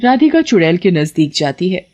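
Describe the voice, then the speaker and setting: A woman's voice: lowish voice, sing-song, normal speed, dark tone. A woman narrating a scripted story dramatically as a storyteller.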